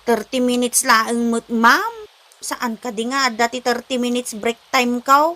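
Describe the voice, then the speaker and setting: A woman's voice: fairly high pitch, steady tone, a little slow, bright, forceful tone. A woman with an Ilocano accent playing a cheeky, sassy housemaid who talks back in a comedy drama. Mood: sassy, grudging. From Philippines. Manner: sassy, giggly.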